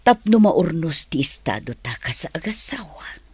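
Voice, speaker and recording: An actress playing a gentle, forgiving married teacher in a radio drama, speaking softly and earnestly. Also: female voice, sits low, very animated, moderate pace, slightly rough, no echo, full character voice. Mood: tender, forgiving.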